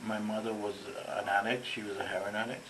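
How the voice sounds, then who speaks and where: A man's voice: fairly low pitch, little pitch movement, quick pace. A man from London with a London English accent, giving a casual personal account as an interview guest. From London, England. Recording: noticeable background noise.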